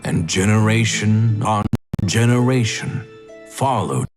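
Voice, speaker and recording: The grave, low storyteller of a fantasy game cutscene, a weary healer measuring out his legend over a clear musical score. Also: soft background music. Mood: solemn. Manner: slow, grave, measured storytelling with long pauses. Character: solemn, weary male doctor recounting a legendary healing contract.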